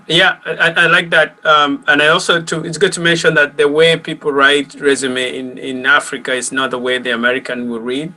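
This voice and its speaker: A man's voice: mid-range voice, bright, forceful tone, rough recording. A man moderating a community talk panel, reading out audience questions with a hesitant manner. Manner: hesitant, many fillers.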